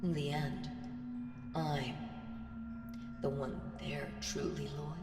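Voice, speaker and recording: A woman voicing an ancient primordial evil in an ASMR audio roleplay, seductive, taunting and menacing, speaking deliberately and teasingly with breathy whispers. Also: deep voice, fairly even intonation, full character voice. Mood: commanding, smug. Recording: very echoey, long reverb, music behind. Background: music.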